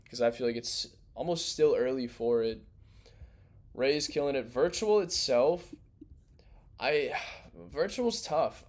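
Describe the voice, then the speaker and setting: A young man hosting a crypto livestream in loose, rambling, slangy casual chat, his voice worn and going hoarse. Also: mid-range voice, a little mellow. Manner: loose, rambling, slangy, restarts mid-thought. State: losing his voice.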